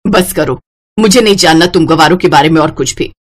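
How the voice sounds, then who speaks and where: A woman's voice: low-pitched, brisk. A woman playing a haughty, scolding rich mother-in-law. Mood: scornful. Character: snobbish, hot-tempered wealthy mother-in-law who scorns villagers. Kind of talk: Hindi moral story narration with voiced characters.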